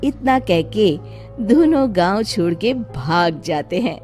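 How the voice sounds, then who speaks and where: A woman's voice: mid-range voice, animated, takes it slowly, a little mellow, soft background music. A woman narrating a scripted children's story as the storyteller.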